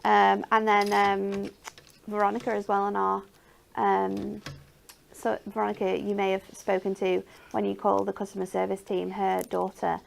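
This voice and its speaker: A woman's voice: mid-range voice, completely flat delivery, moderate pace, creaky, slight background noise. A woman presenting craft products on a shopping show, enthusiastic and full of ums and restarts. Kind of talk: live shopping channel presenting.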